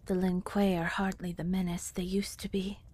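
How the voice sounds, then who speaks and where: A woman playing a worried, loving wife and mother in an audio drama, hushed and breathy, gently earnest. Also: on the low side, little pitch movement, normal speed. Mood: worried, pleading. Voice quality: breathy, whispery.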